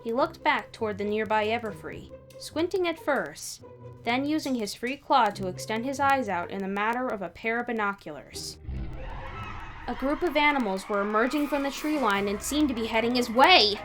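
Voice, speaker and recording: A woman reading fan fiction aloud as an expressive third-person narrator with dramatic pauses. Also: fairly high pitch. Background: background music.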